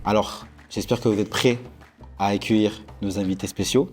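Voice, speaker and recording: A man's voice: lowish voice, steady tone, moderate pace. A man hosting a game show, explaining the rules and introducing guests with playful energy.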